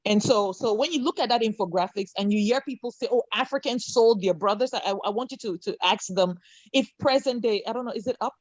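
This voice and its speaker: Woman from Cameroon, panel speaker on a video call; higher voice, passionate, lots of ums and rhetorical questions, lo-fi dry sound. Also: quick pace, no room sound. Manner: impassioned, many fillers and restarts, rhetorical questions.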